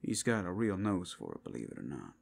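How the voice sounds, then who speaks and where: Wry male tavern regular played by a man: gravelly, casual, dryly impatient, for an ASMR fantasy roleplay. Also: low voice, huge pitch swings, a little fast, pitched below the performer's own voice, full character voice. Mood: wry, explaining. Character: wry, grudging male bar and brothel associate.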